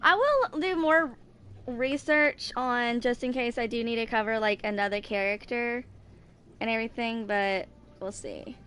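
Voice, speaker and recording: A woman in her twenties giving casual, rambling game commentary, thinking aloud in a halting, distracted way. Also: on the high side, fairly bright tone, a little hiss or hum. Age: 20s. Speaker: gaming video creator.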